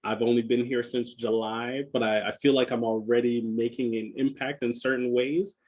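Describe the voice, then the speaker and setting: A man in his thirties with a middle-pitched voice speaks as a podcast guest. He tells his personal story in a reflective way, now and then doubling back on his words. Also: steady tone, moderate pace, darkish tone. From Jamaica, moved to Philadelphia as a child. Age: 30s. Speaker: podcast guest, school enrollment director.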